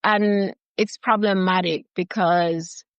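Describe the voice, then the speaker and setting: A woman's voice: on the low side, steady tone, slow, dry recording, highs cut off. A woman with a Jamaican accent, a filmmaker guest in her thirties, talking earnestly and emphatically in an interview, with lots of "like" and "you know". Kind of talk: passionate conversational interview.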